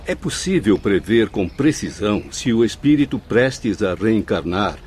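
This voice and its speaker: A man's voice: on the low side, sing-song, measured pace, darkish tone, breathy edge, heavily acted. An actor playing a puzzled professor who questions his spirit guide formally in a Brazilian radio drama. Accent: Brazilian Portuguese.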